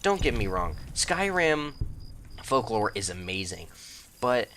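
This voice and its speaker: Bright-voiced young man rambling over gameplay. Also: medium pitch, sing-song, slow, a little hiss or hum. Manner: rambling, self-deprecating, joking. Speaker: let's play host. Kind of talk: casual gameplay commentary.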